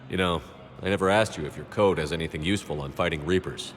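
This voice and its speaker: Casting: male actor as composed soldier-leader for a sci-fi game, low pitch, measured delivery, big reverberant space. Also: slight background noise, close to an everyday voice. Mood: earnest. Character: steady human male military commander. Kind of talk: cinematic video game acting. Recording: long hall reverb.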